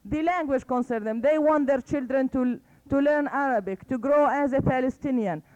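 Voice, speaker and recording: Earnest woman with a high voice, lo-fi. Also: moderate pace, dark tone. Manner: earnest and persuasive, often asks "okay?". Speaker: conference panelist. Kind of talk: impassioned public talk. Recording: dull lo-fi recording.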